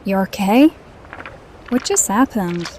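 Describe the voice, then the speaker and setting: A woman's voice: medium pitch, expressive, slow, warm tone, slight background noise, low-quality recording. An actress playing a sardonic yet soothing woman survivor in an audio drama, cool, teasing and matter-of-fact. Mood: reassuring.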